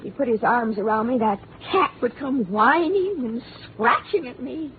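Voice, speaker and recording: An actress playing a bitter, paranoid, frightened widow with overwrought, melodramatic delivery, in 1950s radio drama style. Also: female voice, a little high, lively intonation, normal speed, close to an everyday voice. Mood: bitter, pleading. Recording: tinny, noisy 1950s radio broadcast.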